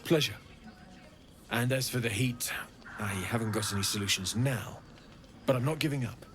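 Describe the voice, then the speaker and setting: An actor voicing a friendly, curious infernal mechanic and weaponsmith in a fantasy video game, speaking frankly and expressively. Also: male voice, medium pitch, moderate pace, lightly acted. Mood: determined. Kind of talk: video game character acting. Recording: game audio with music behind. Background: music.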